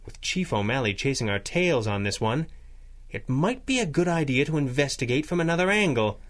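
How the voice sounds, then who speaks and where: A man playing a stern masked hero with a measured, formal, authoritative delivery in a 1930s-set radio drama. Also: mid-range voice, lively intonation, natural voice. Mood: brisk, commanding. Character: stern, commanding masked male crime-fighter hero.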